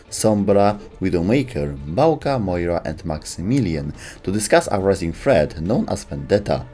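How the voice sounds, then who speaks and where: A man narrates game lore from a script in a fairly low voice. His intonation is lively and a little wry, over soft background music. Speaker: video host and narrator.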